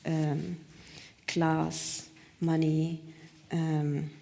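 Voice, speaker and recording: A woman's voice: low-pitched, monotone, extremely slow, creaky, mellow. A woman hosting a live on-stage interview, phrasing her thoughts tentatively as she speaks. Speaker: talk host. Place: live stage event at a theatre.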